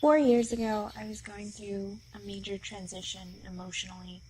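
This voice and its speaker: A woman with a mid-pitched voice tells the story of a dream in a soft, storytelling way. Her voice sometimes drops toward a whisper. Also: fairly even intonation, moderate pace, slight background noise, poor audio. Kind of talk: recounting a dream.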